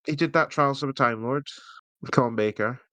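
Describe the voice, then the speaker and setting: A man's voice: medium pitch, fairly quick, sharp tone, no room sound. A man chatting casually as a podcast co-host, jokey and often laughing through his words. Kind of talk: casual chat reviewing an audio drama.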